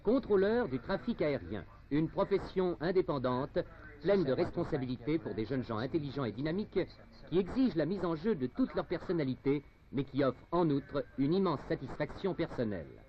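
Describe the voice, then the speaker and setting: A man's voice: on the high side, very animated, normal speed. A man reading scripted narration for a 1970s informational film in a formal, emphatic, carefully articulated way. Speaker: documentary narrator. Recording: dull, noisy 1970s film soundtrack.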